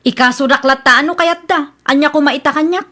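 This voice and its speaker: A woman's voice: high-pitched, brisk, bright, forceful tone. A woman with an Ilocano accent playing a brash, combative village troublemaker in a radio drama.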